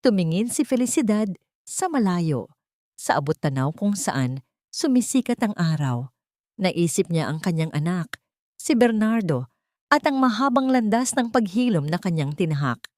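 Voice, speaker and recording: A woman narrating a dramatic story in an earnest, sentimental, measured way. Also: fairly low pitch, big pitch swings, darkish tone, dry recording, studio quality.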